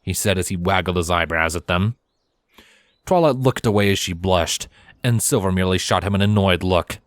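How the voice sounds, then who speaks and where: A man's voice: deep voice, normal speed, no echo. A man reading a scripted story aloud as its narrator. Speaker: fan fiction narrator. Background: background music.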